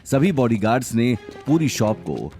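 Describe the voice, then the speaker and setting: A man's voice: mid-range voice, very animated, moderate pace, warm tone, soft background music. A man narrating a scripted audio drama in a dramatic storytelling style.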